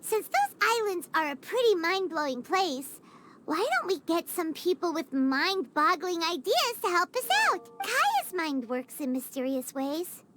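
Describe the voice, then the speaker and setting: A woman voicing a tiny, excitable fairy girl companion in a video game, squeaky and childlike, with a whiny, theatrical manner. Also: unhurried, noisy background, full character voice. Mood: scheming. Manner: speaks of herself in third person, whiny, theatrical.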